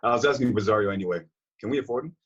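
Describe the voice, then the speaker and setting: A man playing a cocky, flippant, crude young man in a comedic script read over a video call, with a husky voice. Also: lowish voice, rapid-fire, natural voice. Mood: teasing. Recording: dull lo-fi video-call audio.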